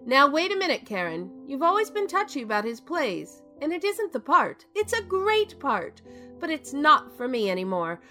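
A woman acting theatrical mature leading lady, bright forceful voice, outspoken, emphatic, audio drama, soft music behind. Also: sits high, very animated, moderate pace, exaggerated voice. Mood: earnest, emphatic.

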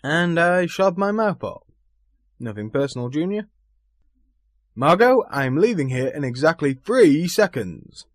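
An actor as a brash theatre man with very lively, swinging pitch, wry and bantering yet affectionate. It is radio play acting, recorded close and dry. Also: unhurried, no echo. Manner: bantering, teasing.

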